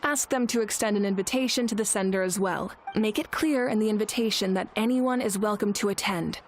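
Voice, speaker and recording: A woman playing a weary, authoritative female leader in a video game, measured and grave. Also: mid-range voice, slight background noise, natural voice. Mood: commanding. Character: composed, weary female chief, solemn and authoritative.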